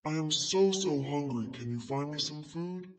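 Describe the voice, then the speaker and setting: A man's voice: fairly high pitch, normal speed, recorded from a distance, pitched above the performer's own voice, close to an everyday voice. A man voicing a creepy, sweet-talking hungry monster in a game cutscene, with a sing-song, giggly delivery.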